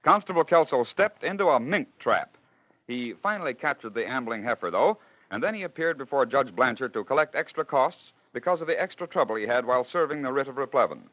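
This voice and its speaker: An American man with a mid-pitched voice narrates as a 1940s radio host. His delivery is theatrical and wry, heard through a dull, lo-fi old broadcast recording. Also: expressive, fairly quick, a bit bright, a little hiss or hum.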